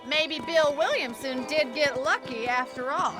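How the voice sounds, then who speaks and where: A woman's voice: sits high, over music. A woman playing a practical, teasing young rancher in a Western video game, with a rural American West accent. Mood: warm, grateful. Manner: plain-spoken, teasing, brisk.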